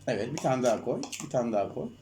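A man's voice: mid-range voice, animated, fast talker, mellow, slight background noise. A man at home, patiently coaxing his daughter through an experiment with leading questions. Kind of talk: casual home video chat. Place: home, indoors.

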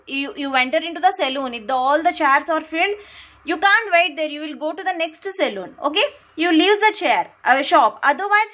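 High-voiced Indian woman lecturing. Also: a bit bright. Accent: Indian English. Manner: many fillers and restarts, repeats phrases to stress points. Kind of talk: whiteboard lecture, explaining while drawing. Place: indoors at a whiteboard. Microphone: close mic, speaker off camera, only hands seen writing.

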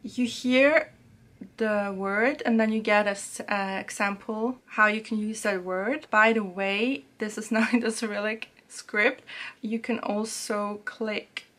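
A woman's voice: mid-range voice, slow. A young woman talking casually and chattily from a small room at home, reviewing a language app and filling her sentences with little fillers. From Serbia. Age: young adult. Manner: chatty, relaxed, many fillers. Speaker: language learning YouTuber.

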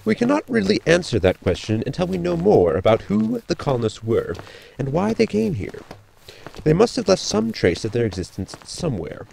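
Man acting as a gravelly, precise robot crewman. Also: a little high, huge pitch swings, moderate pace, a little mellow, a little hiss or hum, heavily acted, low-quality recording. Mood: matter-of-fact. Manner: formal, clipped, exact wording. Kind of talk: sci-fi comedy audio drama acting.

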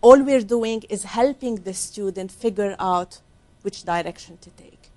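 A middle-aged woman from Lebanon, explaining her work as a career counselor in a soft, earnest way during a studio interview on a lapel mic. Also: mid-range voice, unhurried. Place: small TV studio set, seated at a desk.

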